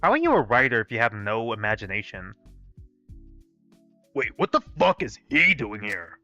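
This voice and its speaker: Man playing a brash young story character, snippy, sweary, bright forceful voice, music behind. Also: mid-range voice, sing-song, normal speed, no room sound, lo-fi sound, pitched below the performer's own voice. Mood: snippy, irritated. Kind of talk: acted story dialogue.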